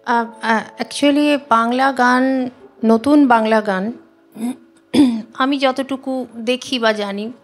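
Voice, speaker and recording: A woman, answering interview questions in an earnest, emphatic, conversational way. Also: sits high. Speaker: singer, interview guest.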